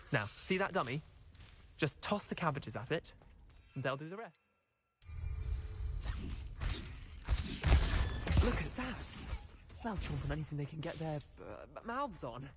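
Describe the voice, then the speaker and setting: An actor playing a cheeky, eager teenage wizard schoolboy with a British accent and chatty, cocky manner in a video game. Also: male voice, on the high side, big pitch swings, normal speed, fairly bright tone, slight background noise. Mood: friendly, impressed. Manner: chatty, boyish, colloquial, cocky. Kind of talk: video game character acting.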